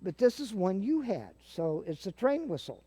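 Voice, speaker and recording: A man's voice: high voice, very animated, moderate pace. An older man casually explaining and demonstrating things as a guest. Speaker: guest, theater sound-effects practitioner.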